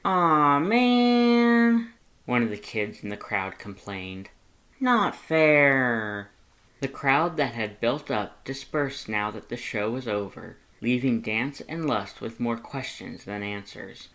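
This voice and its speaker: Story narrator, scripted reading, medium pitch, fan fiction audiobook style. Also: measured pace, hardly any pauses, fairly bright tone.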